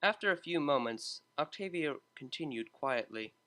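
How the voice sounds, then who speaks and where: A man narrating a fan-written story in a steady, measured reading. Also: fairly high pitch. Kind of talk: fanfiction audiobook narration.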